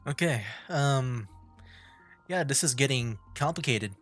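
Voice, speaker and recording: Guy streaming a game, chill chatty commentary, thinking out loud, regular pitch, bit of game music under him. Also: animated. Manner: musing, halting, thinking aloud.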